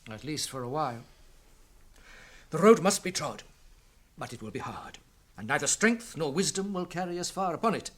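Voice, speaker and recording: A grave, ancient elf lord speaks in a fairly high male voice with a British accent. His delivery is formal and weighty, in the classic manner of radio fantasy drama. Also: lively intonation, fairly bright tone. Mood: solemn. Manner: formal, measured, weighty oratory.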